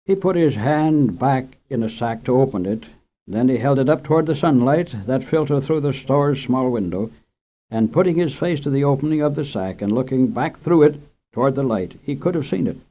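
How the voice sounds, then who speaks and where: A man narrates a short story for children in an unhurried, gentle way, at an ordinary pitch for a man. It comes through as an old radio recording, dull and lo-fi. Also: expressive.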